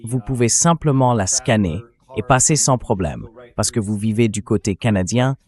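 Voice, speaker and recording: A man with a fairly low voice delivers a translated interview voice-over. He explains political analysis point by point, in a measured and orderly manner. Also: expressive, a little mellow.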